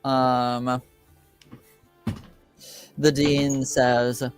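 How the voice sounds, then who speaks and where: A man's voice: medium pitch, flat delivery, very slow, faint music behind. A man narrating a tabletop roleplaying game as game master.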